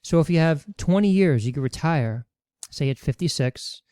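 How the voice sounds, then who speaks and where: A man's voice: a little high, expressive, normal speed, dark tone, no room sound, studio quality. A man explaining retirement benefits rules as a webinar presenter, walking through them step by step with worked examples. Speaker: financial educator presenting a webinar.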